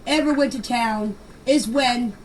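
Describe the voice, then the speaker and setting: A woman's voice: a little high, unhurried. An older woman giving an unscripted personal account in voice messages, speaking emphatically and defensively and repeating phrases to press her point. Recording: noisy amateur voice-message clips.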